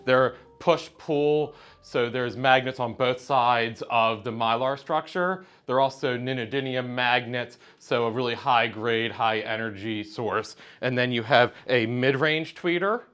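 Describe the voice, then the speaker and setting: A man's voice: mid-range voice, unhurried, few pauses, fairly bright tone, clear, resonant tone. A man giving a precise, matter-of-fact technical explanation as a product representative in conversation. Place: hi-fi trade show exhibit.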